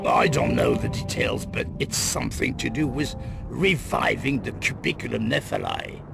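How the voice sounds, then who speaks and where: An actor playing a grim, guarded middle-aged crime boss in a video game cut-scene, with a gravelly voice and grave, deliberate delivery. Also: male voice, lowish voice, huge pitch swings, exaggerated voice. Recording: loud music under the voice.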